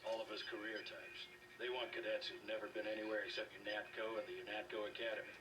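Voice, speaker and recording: A man playing a seasoned, disillusioned career soldier in a video game, plain-spoken and brisk. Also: on the low side, little pitch movement, distant mic, over music, lightly acted. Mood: resolute, bitter.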